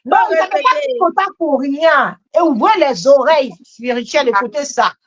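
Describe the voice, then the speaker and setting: A woman exhorting as a church host in an animated charismatic style, emphatic and often repeating her phrases, on a dull lo-fi recording. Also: high-pitched, fast talker.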